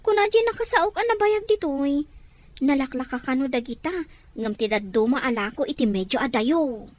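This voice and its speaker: Animated high-pitched young Filipina woman, acted by a woman. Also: moderate pace, no room sound. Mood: hopeful. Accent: Filipino Ilocano. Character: young Filipina daughter eager to work abroad. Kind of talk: Ilocano radio-style drama acting.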